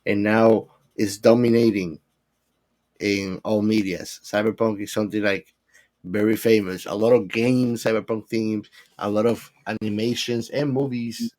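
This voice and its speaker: A man's voice: lowish voice, steady tone, measured pace, a little mellow. A man co-hosting a podcast, talking casually in a film discussion. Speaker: podcast co-host.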